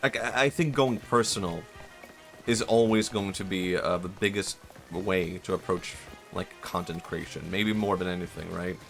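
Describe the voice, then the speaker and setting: Young man, very low voice, hesitant rambling talk, stutters and restarts, self-mocking, faint music behind. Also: moderate pace, vocal fry. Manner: self-mocking, laughs softly. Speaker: streamer. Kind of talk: casual live-stream chat.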